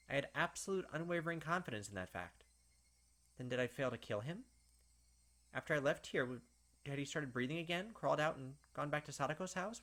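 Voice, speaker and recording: A man's voice: mid-range voice, fairly bright tone. Man reading as a distraught, brooding young killer. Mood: insistent, obsessive, then shaken, doubting. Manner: brooding, insistent, repeating himself. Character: distraught, obsessive young male killer doubting his sanity. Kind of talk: dramatic visual novel narration. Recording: game noise behind the voice.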